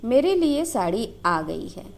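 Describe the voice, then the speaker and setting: A woman's voice: fairly high pitch, sing-song, takes it slowly, creaky, full character voice. A woman storyteller playing a playful young village wife who banters coyly but stays loyal. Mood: teasing. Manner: coy, bantering.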